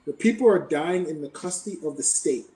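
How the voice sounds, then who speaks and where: Measured Canadian man, roomy distant sound. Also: on the high side, lively intonation, warm tone. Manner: measured, explanatory, with frequent "right?" tags. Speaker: podcast guest. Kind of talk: thoughtful interview answer.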